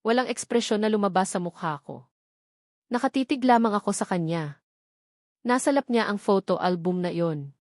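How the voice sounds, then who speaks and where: A Filipino woman narrates a romance novel in the first person. Her voice sits at an ordinary female pitch, reading from a script. The sound is close and dry, with no room echo. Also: animated, moderate pace, pauses often, darkish tone. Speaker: audiobook narrator.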